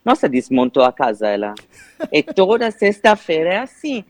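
A man from Italy with an Italian accent, a guest in a relaxed interview, chatty and laughing often, with halting non-native phrasing. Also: a little high, dry recording. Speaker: guest, Instagram cooking creator.

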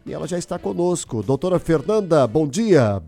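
A man's voice: fairly high pitch, big pitch swings, moderate pace, vocal fry, mellow, faint music behind. A man hosting a live news interview on the radio.

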